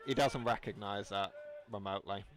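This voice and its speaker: A man commentating on a live match in a rough, cold-sounding voice, with quick, chatty banter. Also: on the low side. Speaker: paintball commentator. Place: commentary booth at an outdoor paintball field. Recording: loud crowd and field noise behind.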